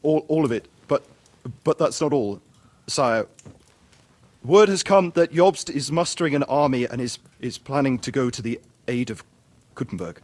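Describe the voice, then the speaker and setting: A man's voice: mid-range voice, normal speed, darkish tone, natural voice. A man playing a nervous, deferential royal messenger, hesitant and stammering, in a medieval video game cutscene. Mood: fearful.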